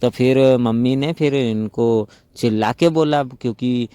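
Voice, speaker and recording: A man's voice: mid-range voice, a little slow, warm tone, clean tone, dry recording, close to an everyday voice. A man playing a chatty, flirtatious unmarried brother-in-law in a staged phone-call role-play, talking in a casual, rambling, gossipy way. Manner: conversational, gossipy, rambling storytelling.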